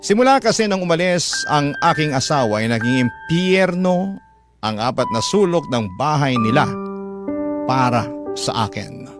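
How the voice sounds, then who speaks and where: A man's voice: a little high, animated, moderate pace. A man reading a scripted first-person story as the narrator of a radio drama. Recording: loud music behind.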